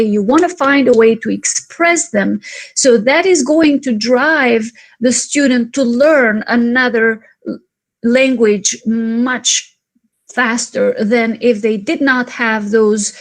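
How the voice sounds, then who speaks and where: A woman whose first language is Albanian, answering questions thoughtfully as a speaker in an online conference. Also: on the high side. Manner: thoughtful, restarts and fillers, emphatic. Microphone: video call.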